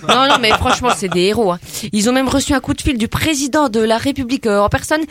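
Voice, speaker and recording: A woman's voice: fairly high pitch, quick pace, fairly bright tone, no room sound, lightly acted. A woman playing a cheeky, naive young woman from the suburbs, talking in a slangy street manner with a French banlieue accent in a scripted radio comedy sketch. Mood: chatty. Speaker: comedy columnist.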